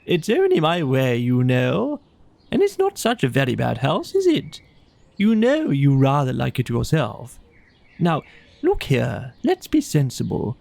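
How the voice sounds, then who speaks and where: A man voicing a boisterous, boastful, excitable gentleman toad in a children's audiobook, with pompous, exuberant exclamations. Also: mid-range voice, measured pace, creaky, dry recording, heavily acted. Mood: jovial, pleading.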